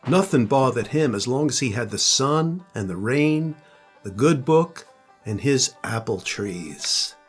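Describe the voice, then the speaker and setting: A man's voice: mid-range voice, lively intonation, takes it slowly, breathy edge, faint music behind. An older man, a grandfather, narrating a children's story.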